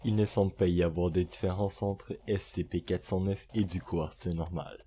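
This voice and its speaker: Homme à voix grave, narration d'horreur. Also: male voice, low-pitched, steady tone, moderate pace, dark tone, slight background noise. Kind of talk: scripted horror narration, clinical report read as an audio log.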